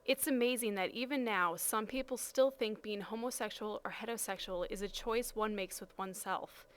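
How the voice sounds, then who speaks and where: A woman's voice: medium pitch, moderate pace. A woman reading a prepared statement aloud.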